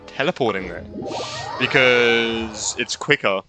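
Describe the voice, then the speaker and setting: A young man giving casual let's-play commentary, excitable and rambling in asides as he streams a game. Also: medium pitch, slow, vocal fry, soft background music. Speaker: gaming streamer.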